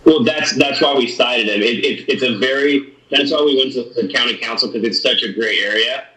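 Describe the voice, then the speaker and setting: A man, a sheriff's deputy, briefing a community meeting in a halting way, with many restarts and fillers. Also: mid-range voice, pushed and bright. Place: meeting room. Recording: echoey room.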